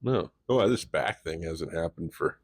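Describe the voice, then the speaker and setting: A man's voice: low voice, lively intonation, unhurried, creaky, slightly rough, dry recording, clean studio sound. A man of thirty-five co-hosting a podcast, rambling in casual chat, fumbling his words and laughing at himself. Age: 30s. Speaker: podcast co-host.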